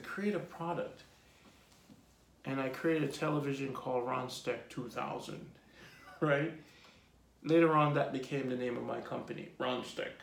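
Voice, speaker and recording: Gravelly man in his forties. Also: mid-range voice. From Jamaica. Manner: rambling lists, relaxed and reflective. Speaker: artist being interviewed. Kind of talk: casual storytelling interview. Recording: echoey room.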